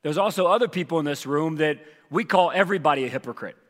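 A man preaching as lead pastor, hoarse from losing his voice, talking casually with many fillers. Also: fairly high pitch, quick pace, long reverb tail. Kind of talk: sermon with announcements. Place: church auditorium.

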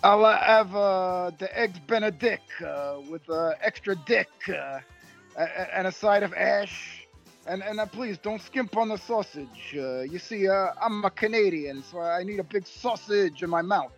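A man playing an excitable, bumbling Canadian wrestling sidekick in a comedic audio play, stammering and repeating his words. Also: high-pitched, unhurried, vocal fry, faint music behind, heavily acted. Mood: eager.

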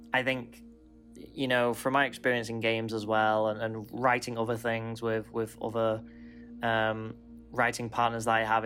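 Even-toned man talking informally over music. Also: mid-range voice. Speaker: writer. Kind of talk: reflective personal advice talk.

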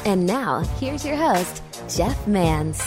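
A woman reads the show's scripted opening in an upbeat, lilting voice. Her pitch rises and falls widely as she speaks. Loud music plays under her. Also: big pitch swings, slow pace. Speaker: show announcer. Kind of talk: scripted intro announcement. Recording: announcement over loud music.

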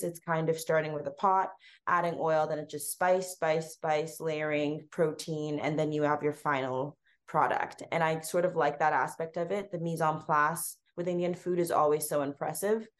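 A woman's voice: sits low, steady tone, normal speed, hardly any pauses. A woman with a Canadian accent, a food blogger chatting as an interview guest, rambling with ums. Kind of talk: casual interview chat.

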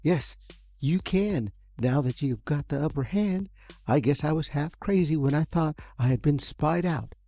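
A man doing a high-voiced old inventor. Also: a little slow, dark tone, no echo. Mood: eager. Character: eccentric lanky older male inventor, earnest.

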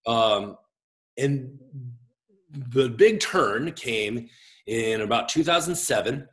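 Middle-aged man, a pastor, chatty interview guest, big expressive gregarious voice. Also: mid-range voice, slow, rough recording. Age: 40s. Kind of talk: casual conversational interview.

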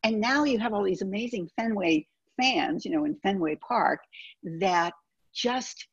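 Husky woman host, dull lo-fi sound. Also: mid-range voice, lively intonation, measured pace, no room sound. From Boston, USA. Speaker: interviewer. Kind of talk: casual interview chat.

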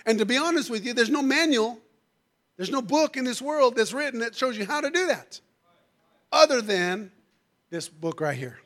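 A preacher man with a notably high voice delivers a fervent church sermon. His voice is going hoarse, and he peppers his lines with "amen" and "hallelujah" while calling out to the congregation. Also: expressive, normal speed, fairly bright tone. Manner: calls out to the congregation. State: losing his voice. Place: church sanctuary.

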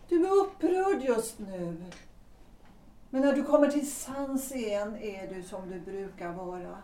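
Lady playing a cool determined wife in her 30s, highish soft voice, period drama, roomy with some noise. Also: lightly acted. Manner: controlled, measured, firm. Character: composed, resolute wife in her thirties, coolly leaving. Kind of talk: audio drama acting of a period stage play. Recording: roomy, distant mic, noisy background.

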